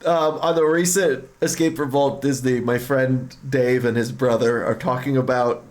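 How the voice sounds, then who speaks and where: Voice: medium pitch, fairly even intonation, a little slow, a little mellow. A streamer chatting casually in a rambling way over a game, telling movie anecdotes. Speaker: streamer reading a game's dialogue aloud. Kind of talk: casual chat while playing a game. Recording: music behind the voice. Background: music.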